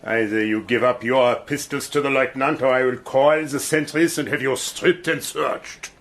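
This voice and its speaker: An actor playing a cruel, mocking German army colonel who gloats with slow, theatrical menace. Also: male voice, medium pitch, fairly even intonation, a bit bright, lightly acted. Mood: menacing. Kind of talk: radio play acting.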